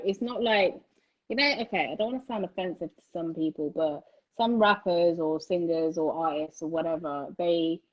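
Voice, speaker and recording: A woman's voice: medium pitch, dull audio. A woman interviewing an artist in casual chat, enthusiastic and full of fillers, laughing often. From United Kingdom. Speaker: interviewer, channel host. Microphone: phone recording.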